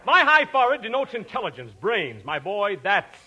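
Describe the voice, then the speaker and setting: An actor playing a fussy, pompous etiquette professor in a 1940s radio comedy, lecturing grandly. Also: male voice, high voice, sing-song, moderate pace, pushed and bright, muffled recording, a little hiss or hum, exaggerated voice.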